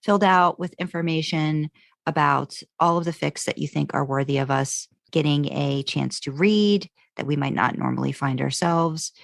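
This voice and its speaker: A woman's voice: deep voice, moderate pace, vocal fry, no room sound, studio quality. A woman co-hosting a podcast, talking casually and informally in a self-deprecating way. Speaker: podcast co-host.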